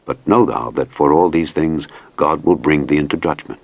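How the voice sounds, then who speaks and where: Deep-voiced man reading scripture solemnly. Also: normal speed, gravelly, lo-fi sound. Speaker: scripture narrator.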